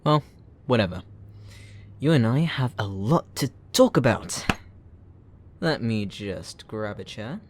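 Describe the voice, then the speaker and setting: Man acting as male villain, lowish pitch, very slow pace, smug, mocking, theatrical, playful menace, roleplay ASMR. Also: very animated, a little hiss or hum. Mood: playful taunting. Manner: mocking, playful, taunting, chuckling. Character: gloating, sarcastic, theatrical male supervillain taunting a captive hero. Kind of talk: roleplay ASMR audio drama.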